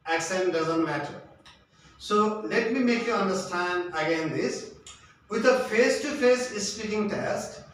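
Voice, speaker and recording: Man, slow deliberate tutoring, emphatic and repetitive, very echoey distant recording. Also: sits high, a bit bright. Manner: emphatic, repetitive, asks questions and answers them. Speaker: English exam tutor. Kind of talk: instructional lecture. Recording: echoey room, distant mic, faint noise.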